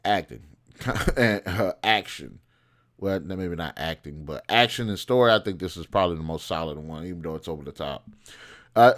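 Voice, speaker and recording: Low-voiced American man talking movies off the cuff. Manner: opinionated, colloquial, thinking aloud with self-corrections. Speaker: host of a film commentary show. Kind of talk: casual, off-the-cuff movie commentary.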